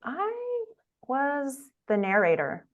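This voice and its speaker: A woman's voice: high-pitched, huge pitch swings, takes it slowly, highs cut off. A woman actor, guest on an interview show, chatting casually and laughing easily over a video call. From Delaware, USA.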